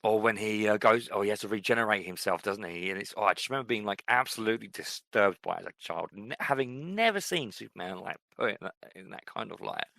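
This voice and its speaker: A man's voice: on the low side, lively intonation, fast talker, vocal fry, few pauses, bright, forceful tone, dry recording. A man in his thirties co-hosting a casual podcast, talking in a reflective, rambling way with many fillers and restarts. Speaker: podcast co-host. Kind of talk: casual chat.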